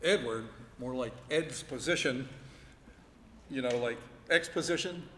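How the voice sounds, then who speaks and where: A man's voice: mid-range voice, unhurried, lightly acted. A man playing a jokey character actor who tells corny dad jokes in a comic stage radio play. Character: Henry, male character actor, corny dad-joke teller. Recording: echoey ballroom, clearly noisy.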